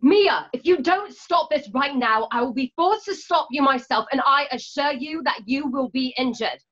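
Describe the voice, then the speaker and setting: A woman playing a stern but caring combat instructor in a radio drama, firm and measured, over a muffled call. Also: sits high, fairly bright tone. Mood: stern, warning. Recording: dull, muffled video-call audio.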